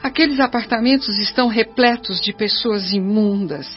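A woman's voice: mid-range voice, normal speed, close to an everyday voice. A woman with a Brazilian accent playing an anguished, complaining mother spirit in a radio drama, plaintive and self-justifying. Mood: indignant complaining. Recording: dull lo-fi radio drama with music behind. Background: music.

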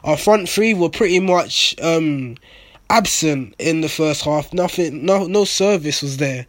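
A young man whose voice is raspy and nearly gone from shouting, pitched a little higher than most men. He talks fast and blunt in a casual fan reaction, and the sound is dry and close. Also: no echo. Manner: excitable, blunt, swears freely. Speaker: football fan vlogger. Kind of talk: casual post-match reaction monologue.

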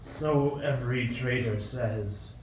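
A man's voice: mid-range voice, takes it slowly, close to an everyday voice. In a roomy, distant recording, a man plays an ailing old king in a radio drama. He is imperious and snappish, seeing traitors everywhere, in a middling male voice. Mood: irritable, accusing. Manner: imperious, snappish, paranoid accusations. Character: sick, suspicious, tyrannical old King Herod. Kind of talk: radio play acting. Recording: roomy, distant mic, faint noise.

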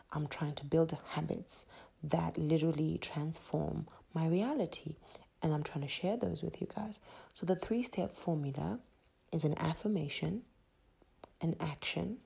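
A woman from Zimbabwe hosting a solo motivational podcast. She talks in an emphatic, repetitive, preachy way with a breathy voice. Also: sits low, mellow. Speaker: podcast host.

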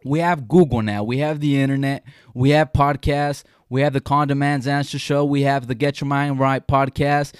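A man's voice: medium pitch, fairly even intonation, normal speed, hardly any pauses, smooth, no room sound, clean studio sound. A young man whose first language is Spanish, hosting a casual podcast chat in an earnest, emphatic way with frequent restarts. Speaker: podcast host.

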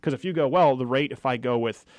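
A young adult man hosting a talk radio show from a studio. He talks in fast, argumentative bursts full of asides, and his middle-pitched voice sounds close and completely dry. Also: no room sound, dull audio.

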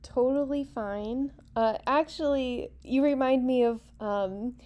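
A woman co-hosting a casual discussion, explaining her views in a relaxed, steady way with a few fillers. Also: high voice, takes it slowly. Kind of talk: casual chat.

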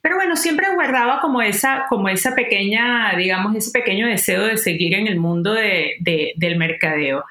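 A woman talks as an interview guest. She sounds warm and upbeat, with a mid-pitched voice. The sound is echoey and roomy. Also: normal speed, few pauses. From Venezuela. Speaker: guest, entrepreneur. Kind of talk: interview conversation.

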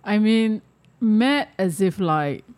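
A woman with a Malaysian accent giving opinions in a casual discussion, halting and repeating words as she argues. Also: mid-range voice, lively intonation, a little mellow, smooth. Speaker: panelist.

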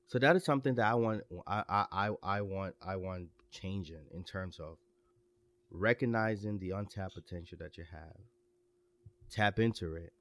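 A man's voice: low-pitched, moderate pace, a bit bright, dry recording. A young man giving his opinions in casual chat, earnest and stumbling over restarts as he gets worked up.

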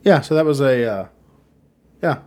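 A man's voice: medium pitch, animated, moderate pace, warm tone. A man co-hosting a podcast in casual chat, rambling and restarting his sentences, sitting a little back from his mic. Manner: rambling, restarts a lot, laughs at his own jokes. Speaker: podcast co-host. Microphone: studio mic, sitting a bit back from it.

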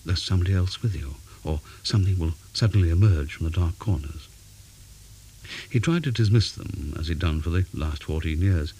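Deep, mellow man reading a ghost story. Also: rough recording. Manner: measured, dramatic storytelling with pauses. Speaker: story reader. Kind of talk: scripted narration of a ghost story. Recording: faint background hiss.

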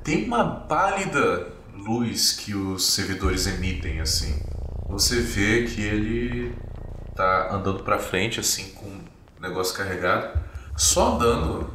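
A Brazilian man runs a tabletop game, his low voice bright and forward as he describes each scene with searching pauses. The room rings with echo, and soft music plays underneath. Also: a little hiss or hum. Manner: descriptive, hesitant pauses while narrating. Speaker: game master.

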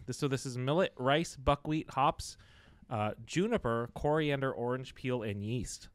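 A man's voice: mid-range voice, measured pace. A young man, the producer, talks in an explanatory way and reads out details. His voice sits close to the mic with no room echo around it. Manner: explanatory, reads facts aloud. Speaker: podcast producer. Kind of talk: casual podcast chat. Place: small podcast studio. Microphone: studio microphone.

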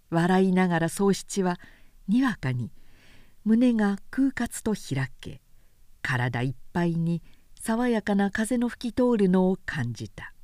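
A woman narrator giving a measured, expressive professional reading of a period story. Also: medium pitch, dry recording, studio quality.

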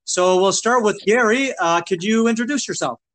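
A man from Nova Scotia hosting a podcast, asking questions in a slow, halting way. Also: sits high, fairly bright tone. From Nova Scotia, Canada. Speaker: podcast host. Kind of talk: podcast interviewing. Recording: guitar music behind.

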